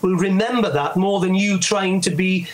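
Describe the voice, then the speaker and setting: A middle-aged man with a Welsh accent and a notably high speaking voice. He talks casually and tells anecdotes in a chatty way. He slips into the voices of people he is quoting. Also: moderate pace. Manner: chatty storyteller, acts out quoted voices. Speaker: guest.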